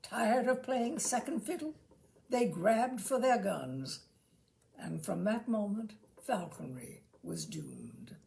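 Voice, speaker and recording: A woman giving an expressive, theatrical reading of a humorous essay, with measured phrasing and dramatic pauses. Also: on the high side, gravelly. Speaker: reader of literary humour.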